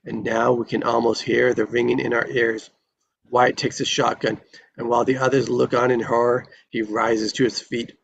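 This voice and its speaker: A man's voice: mid-range voice, level tone, normal speed, gravelly, slightly rough, poor audio. A man reading screenplay stage directions in a dramatic narrating style at a table read.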